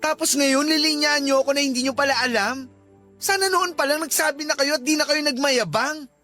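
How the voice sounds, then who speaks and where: A woman's voice: high-pitched, fairly quick, pushed and bright, soft background music. A woman playing an irritated grown child who scolds an elderly mother in an exasperated, nagging way, as in a radio drama. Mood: exasperated scolding. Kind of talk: Tagalog radio drama acting.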